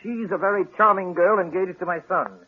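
Husky, indignant middle-aged suspect. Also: male voice, sits high, brisk. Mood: defensive explaining. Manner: proper, protesting, matter-of-fact. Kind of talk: 1940s radio drama acting. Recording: muffled, scratchy 1940s radio recording.

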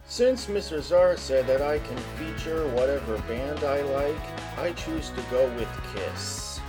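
A man's voice: on the high side, expressive, a little slow, over music, poor audio. A man hosting a podcast, asking polite questions and reading scripted narration. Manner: polite, addresses guest as sir. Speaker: podcast host and interviewer.

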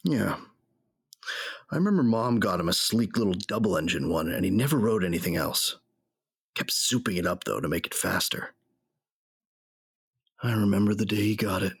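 A grieving, hard-drinking bounty hunter, played by a man, tells his story in an audio drama. His voice is low and full of gravelly fry, weary and reflective, recorded very dry. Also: lively intonation, moderate pace, pauses often, dry recording, heavily acted. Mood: bitter, nostalgic. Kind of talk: first-person audio drama narration and dialogue.

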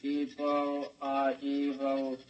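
A huge steel robot with the mind of a child in a 1950s radio drama. The voice is grinding and mechanical, very flat and slow, with stiff, naive phrasing. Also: male voice, mid-range voice. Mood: obedient, flat. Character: childlike eight-foot steel robot, naive, learning to kill. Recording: dull, lo-fi old radio recording.

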